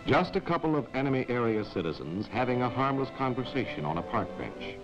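An actor playing a wry, knowing narrator of a 1940s spy training film, with a clipped, sardonic delivery. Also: male voice, mid-range voice, sing-song, fairly quick, lightly acted. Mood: explanatory. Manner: sardonic, clipped, addressing the character. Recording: muffled 1940s film soundtrack, music behind.

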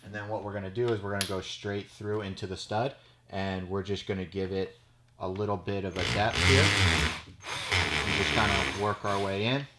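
Low-voiced man explaining in an echoey room. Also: level tone, moderate pace. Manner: casual, explanatory, frequent "okay?" check-ins. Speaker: judo coach. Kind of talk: how-to demonstration. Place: small storage room with mats, indoors. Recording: echoey small room, faint noise.

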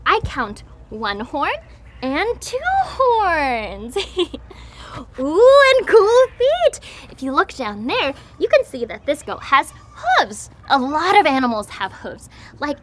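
Very high-pitched, animated young woman hosting kids' TV. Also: slow, background noise. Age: young adult. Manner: exaggerated, sing-song, exclamatory delivery with frequent giggles. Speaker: children's show host. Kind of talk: educational kids' show presenting. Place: outdoors at a farm with animal pens.

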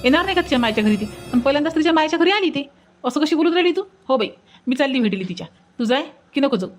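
A woman's voice: high-pitched, animated, very fast, faint music behind. A woman voicing a blunt, indignant village neighbour in a comic cartoon, scolding in a Varhadi Marathi accent. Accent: Varhadi Marathi from Vidarbha.

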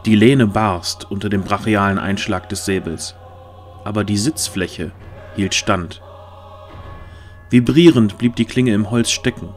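A man's voice: fairly low pitch, a little slow, soft background music. A man reading scripted, dramatic fantasy narration as the narrator of an audio drama.

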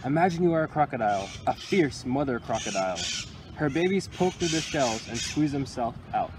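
A young man reads a picture book to small children with expressive, deliberate storytelling phrasing, recorded outdoors. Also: mid-range voice. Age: young adult. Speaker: county environmental employee reading to children. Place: outdoors under a tree by water. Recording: outdoor recording with loud ambient noise.

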